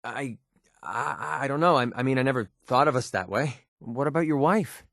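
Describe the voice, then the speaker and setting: An actor playing a guarded, wry and short-tempered young mercenary with a tender side in a video game, speaking informally. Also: male voice, medium pitch, gravelly, a bit bright, dry recording, clean studio sound. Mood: hesitant, sorrowful, tender. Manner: informal, halting when emotional. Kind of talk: scripted video game character dialogue.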